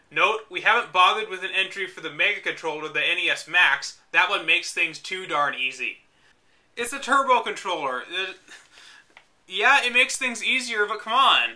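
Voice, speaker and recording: A man's voice: high-pitched, pushed and bright. A young man reading an old video game guide aloud and mocking it with dry asides. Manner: mocking, dry asides between read passages. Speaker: host reading a book aloud. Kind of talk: reading aloud with sarcastic commentary.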